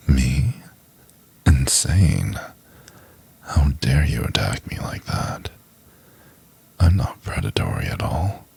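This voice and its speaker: A man playing a possessive, obsessive stalker boyfriend in an intimate ASMR roleplay, speaking in a husky, hushed half-whisper with soft menace. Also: rumbling low, heavily acted. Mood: mock-offended, coaxing. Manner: slow, hushed, crooning insistence.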